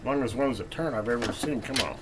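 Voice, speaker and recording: A man's voice: mid-range voice, quick pace. A man giving casual hands-on repair commentary as a video host, thinking aloud while he works. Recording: clearly noisy hobbyist video recording.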